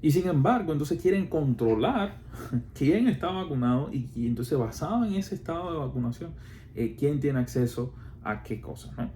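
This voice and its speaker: A man with a Cuban Spanish accent talks in a relaxed, mellow voice, explaining his views in casual talk. He circles back often, stumbling over fillers, false starts and rhetorical questions. Faint background noise sits under the voice. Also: fairly high pitch, normal speed. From Cuba. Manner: hesitant, many fillers and restarts, rhetorical questions.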